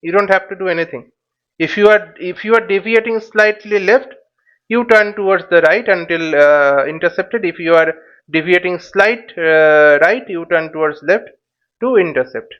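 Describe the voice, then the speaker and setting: Guy with a pretty high voice explaining stuff step by step, kinda halting, repeats himself, muffled lo-fi mic. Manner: hesitant, repetitive, checks listener follows. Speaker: flight simulator tutorial instructor.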